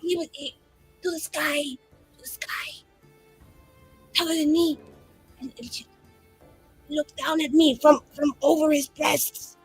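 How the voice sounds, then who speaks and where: A woman's voice: high-pitched, fairly even intonation, slow pace, pauses often, faint music behind, pitched above the performer's own voice, poor audio. A woman voicing a flamboyant, chatty young female mage, rambling and full of fillers. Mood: gossipy.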